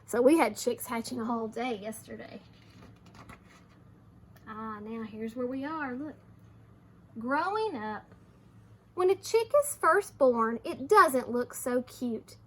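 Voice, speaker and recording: A woman teacher reading aloud to young children, expressive, with simple explanations along the way. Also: fairly high pitch, takes it slowly, long pauses, a little hiss or hum.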